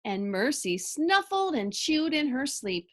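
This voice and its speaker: A woman reading a children's story aloud with expressive narration. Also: sits high, unhurried.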